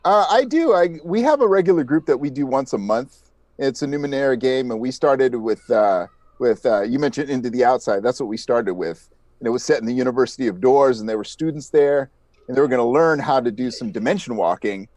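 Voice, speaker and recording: A man's voice: medium pitch, expressive, fairly quick, darkish tone, no room sound. A man talking casually as an interviewed podcast creator.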